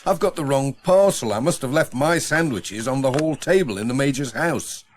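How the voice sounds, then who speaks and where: A man's voice: mid-range voice, expressive, moderate pace, pitched above the performer's own voice, natural voice. A man voicing a cheerful, kindly village postman, chatty and reassuring, in a children's storybook. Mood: dismayed. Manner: chatty, reassuring, often talking to himself. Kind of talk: dramatised children's storybook reading. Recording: faint noise, old audiobook transfer.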